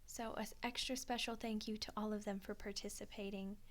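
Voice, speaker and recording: A soft-spoken woman, an ASMR creator and voice actor, giving informal, appreciative thank-you credits. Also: mid-range voice, level tone, fairly quick.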